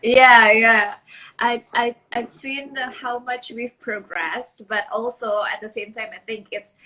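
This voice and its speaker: A woman's voice: a little high, fairly even intonation, unhurried, bright, forceful tone, low-quality recording. A woman hosting an interview over a video call, searching for words with frequent restarts and fillers. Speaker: host. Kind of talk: casual interview chat.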